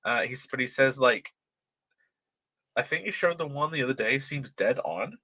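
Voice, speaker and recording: A young British man with a light, transatlantic-leaning accent talks through a casual livestream, reading comments aloud. His voice is very bright and forceful, his pitch swings around animatedly, and it sits close and dry on the mic. He swears freely and laughs a lot. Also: lively intonation, moderate pace, no room sound. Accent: light British, transatlantic-tinged. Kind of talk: casual live-stream chat, reading chat aloud.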